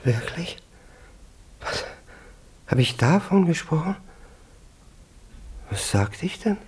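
An actor playing a nervous, jealous, self-important Russian official in a German radio play, stammering and repeating himself. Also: male voice, on the high side, big pitch swings, takes it slowly, a little mellow, slightly rough, exaggerated voice. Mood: confused, flustered. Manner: stammering, repeats words, breaks off.

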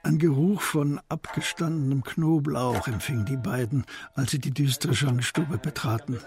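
A man narrating a historical crime radio play in a measured, literary style, as a sober storyteller. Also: mid-range voice, over music, natural voice. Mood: sober, suspenseful.

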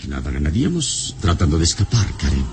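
An actor playing a vigilant, authoritative adventurer hero in a solemn, measured radio serial style. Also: male voice, very deep voice, big pitch swings. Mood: commanding. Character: commanding, vigilant adventurer hero, calm under danger. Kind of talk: old radio serial acting. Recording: noisy old radio serial recording.

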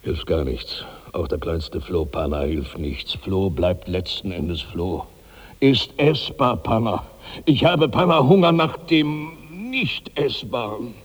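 An actor playing a gigantic, self-pitying rich glutton of 65 in theatrical, grandiose 1960s radio drama style, swinging between brooding and giddy. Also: male voice, lowish voice, animated, slow, husky, full character voice. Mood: resigned, hungry. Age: older. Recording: reverberant 1960s radio studio recording.

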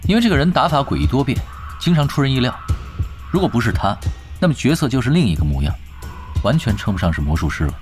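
An actor playing a knowledgeable male gamer calmly explaining matters to a friend. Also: mid-range voice, fast talker, soft background music, lightly acted. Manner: explanatory, relaxed.

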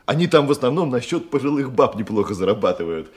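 A man's voice: mid-range voice, sing-song, fast talker, natural voice. A man in a Russian radio drama playing an evasive, servile criminal underling who hesitates, stammers and stalls. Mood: hesitant, ingratiating. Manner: hesitant, stammering, repeats questions back.

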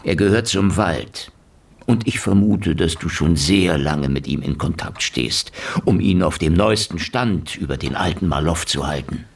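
An actor playing a cynical, wisecracking investigator in a German radio play, sardonic and needling in manner. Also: male voice, low voice, lively intonation, measured pace, creaky, husky, heavily acted. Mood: sardonic, probing. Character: cynical, wisecracking hard-boiled male investigator in Soviet Union.

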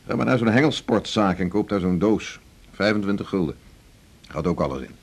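An actor in a 1980s Dutch radio drama playing a blunt, teasing middle-aged senior doctor, brusque and bossy. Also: male voice, low-pitched, expressive, fairly quick, slightly rough, heavily acted. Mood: teasing, testing.

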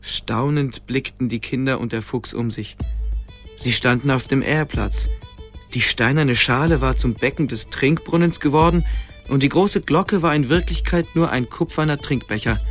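A male narrator tells a dramatic tale in a medium-pitched voice. It is scripted radio storytelling, with faint music running underneath. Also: normal speed.

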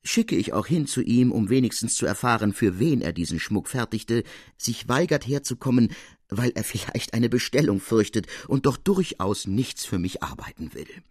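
A man's voice: medium pitch, expressive, moderate pace, a little mellow, slightly rough, dry recording, studio quality, pitched above the performer's own voice. A man voicing a grand noblewoman, a courtly and emphatic marquise, in a storybook reading.

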